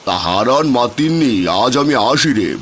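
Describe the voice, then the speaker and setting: A man's voice: mid-range voice, lively intonation, normal speed, fairly bright tone, lightly acted. An actor playing a kindly, generous old village headman in a cartoon fairy tale. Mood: pleased. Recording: rain noise behind.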